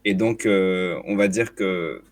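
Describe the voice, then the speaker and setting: A man's voice: low voice, takes it slowly. A young man with a slight, hard-to-place foreign accent, talking as a guest in a casual discussion and often restarting his sentences. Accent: slight hard-to-place foreign accent in French. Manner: hesitant, many restarts and repeated words.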